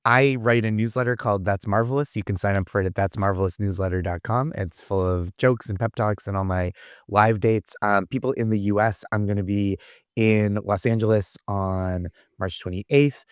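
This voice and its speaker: A man's voice: on the low side, steady tone, moderate pace, clean tone, no room sound, clean studio sound. An American man chatting casually as a comedy podcast guest, with a self-deprecating manner.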